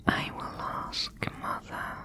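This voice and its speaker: A woman's voice: slow pace, bright, forceful tone. A woman voicing a wary, territorial giant spider guard in an ASMR roleplay, speaking in a stern, clipped whisper.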